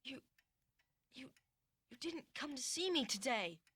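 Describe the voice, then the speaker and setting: An actor playing a sickly, spoiled young boy in an animated drama, with an imperious, whiny, dramatic manner. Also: sits high, unhurried, slightly rough, no echo, heavily acted. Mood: hysterical, demanding.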